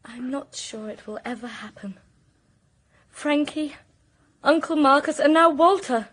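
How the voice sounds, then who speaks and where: A woman's voice: high-pitched, unhurried, a bit bright. An actress playing a troubled, plaintive young woman in a radio mystery drama. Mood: despondent. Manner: earnest, plaintive. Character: troubled young British woman, suspected of murder, despairing.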